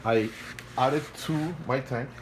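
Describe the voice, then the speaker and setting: A man with a non-native English accent, talking casually at home in a halting, repetitive way while filming an aquarium on his phone. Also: medium pitch, a little hiss or hum, rough recording. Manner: halting and repetitive, restarts phrases, earnest and pleading. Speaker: hobbyist vlogger. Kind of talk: casual home video walkthrough. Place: home, beside an aquarium indoors. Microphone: phone held by the speaker while filming.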